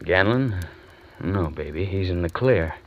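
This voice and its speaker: An actor playing a world-weary, stubborn investigator in a radio drama, with a slangy, wry and terse delivery. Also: male voice, low voice, lively intonation, a little slow, no echo. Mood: soothing, heavy. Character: world-weary hard-boiled male investigator in love, stubborn. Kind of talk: 1940s radio drama acting, first-person narration. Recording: muffled old radio recording, music behind.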